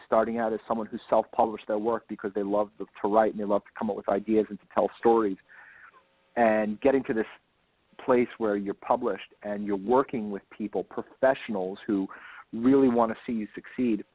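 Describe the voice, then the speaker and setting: A man speaking as an author guest in a casual interview, enthusiastic and rambling, repeating words for emphasis, with a slightly husky voice. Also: fairly low pitch. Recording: lo-fi phone-like line, roomy echo.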